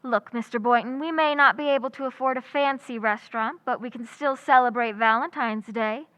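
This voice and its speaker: A woman's voice: a little high, a little fast, fairly bright tone, close to an everyday voice. A woman playing a wry, romantically hopeful schoolteacher in an old-time radio comedy, with an American accent. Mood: wry, coaxing. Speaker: actor in community playhouse radio recreation.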